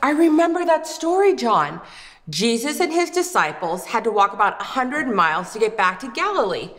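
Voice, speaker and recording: Animated high-voiced woman, reverberant room. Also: normal speed. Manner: exaggerated, expressive, playful. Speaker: children's puppet show host. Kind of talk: scripted children's show dialogue. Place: indoor wooden puppet stage set.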